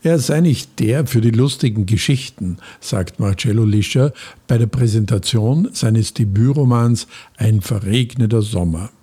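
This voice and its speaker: A man reading a scripted introduction to an author interview in an even, announcer-like way. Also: on the low side, unhurried, slightly rough.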